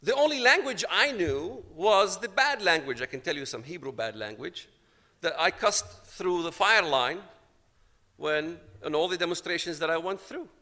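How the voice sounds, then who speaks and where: A man's voice: fairly high pitch, lively intonation, moderate pace, pushed and bright, in a large hall. A man from Palestine giving a personal testimony, telling his story dramatically and acting out the dialogue. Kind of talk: storytelling talk to an audience.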